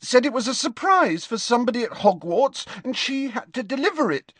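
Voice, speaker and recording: High-pitched teenage girl, sobbing, frantic, storybook reading, dry close sound. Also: big pitch swings, brisk, a bit bright, no echo. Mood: sobbing, panicked. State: crying. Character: distraught teenage schoolgirl, sobbing and panicked.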